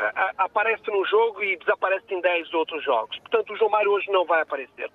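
A man with a Brazilian-tinged Portuguese accent phoning in opinionated football commentary, emphatic and given to repeating himself, over a tinny phone line. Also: high-pitched. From Portugal, lived many years in Brazil. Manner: emphatic, repetitive. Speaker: phone-in caller, football fan.

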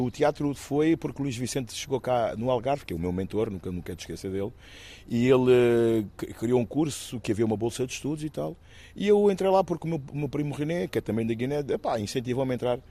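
A man in his fifties from Guinea-Bissau, talking about his life in an interview, thoughtful and halting, with many restarts. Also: mid-range voice, dark tone. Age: 50s. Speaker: interviewee, actor and musician. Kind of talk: reflective personal interview.

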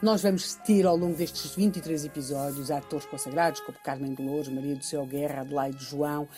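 A woman presenter with a low, dark voice and a European Portuguese accent. She explains with emphasis, repeating key phrases as in a radio documentary, over faint music. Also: fairly even intonation, a little fast.

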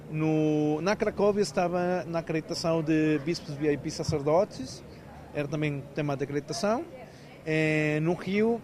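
A man's voice: a little high, darkish tone. A man of about thirty from Mexico, a native Spanish speaker with a Brazilian-style Portuguese accent, chatting as a volunteer in an interview with many fillers and restarts. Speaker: event volunteer. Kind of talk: casual interview answers.

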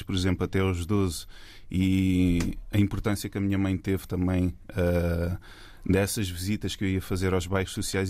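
A man from Portugal with a very low, flat voice talks through his story as a guest filmmaker. He hesitates often, restarting his sentences and filling the gaps. The sound is dry and close. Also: no room sound. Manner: hesitant, many fillers and restarts. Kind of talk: casual interview talk.

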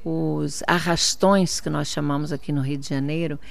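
A woman's voice: sits low, steady tone, moderate pace, gravelly. An older woman from Portugal, answering an interview as a city councillor, insistent and repeating her points for emphasis.

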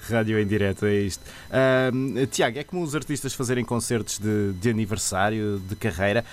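A man's voice: lowish voice, brisk. A man, hosting a live radio interview and asking casual, joking questions. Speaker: radio host.

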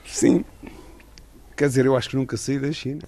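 A man's voice: medium pitch, steady tone, normal speed, warm tone. A middle-aged man, an author and former correspondent, talking in a radio interview in a thoughtful, digressive way with many restarts.

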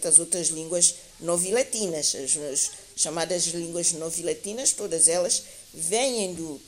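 A woman speaking as a guest teacher in a panel discussion, explaining in a deliberate, academic way and often correcting herself as she goes. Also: on the low side, a little mellow. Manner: deliberate, academic, hesitant with repeated self-corrections. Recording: clear background hiss.

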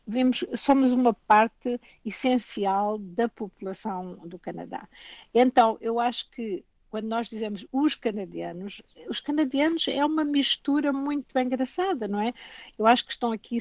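A woman's voice: fairly high pitch, darkish tone, no echo. An older woman from Portugal with a European Portuguese accent, a guest speaking thoughtfully with frequent restarts and asides in a remote interview. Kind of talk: remote interview, reflective conversation. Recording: dull lo-fi remote line.

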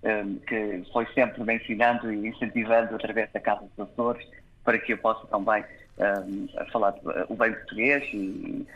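A man from Canada, born in Toronto, answering interview questions hesitantly, with many filled pauses and restarts. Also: lowish voice, level tone, highs cut off. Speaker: guest.

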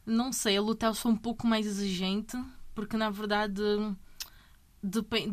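A woman in her thirties from Brazil, speaking Portuguese with a blend of Brazilian and European accents. She chats easily and restarts her sentences often. Her pitch stays fairly flat and level. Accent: Brazilian Portuguese mixed with European Portuguese. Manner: chatty, restarts sentences, tags with "entende?". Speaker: MMA fighter, guest. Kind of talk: casual interview conversation.

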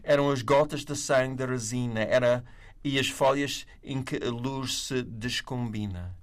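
Man with a non-native accent, measured. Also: mid-range voice. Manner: slow, measured reading of verse. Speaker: writer and panel guest. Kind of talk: poetry reading, then casual conversation.

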